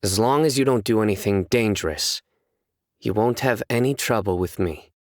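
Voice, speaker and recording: An actor playing a stern, composed young male judge in a video game, speaking in a measured, serious, deadpan way. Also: on the low side, no echo, clean studio sound, close to an everyday voice. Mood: calm, stern. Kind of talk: scripted game character voice lines.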